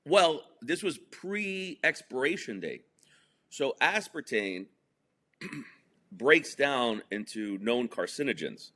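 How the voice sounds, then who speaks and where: A man's voice: mid-range voice, lively intonation, slow, bright, forceful tone. A man from New Jersey whose first language is Spanish, telling his life story as a guest in a casual interview, chatty and full of fillers, laughing at his own jokes. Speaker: podcast guest.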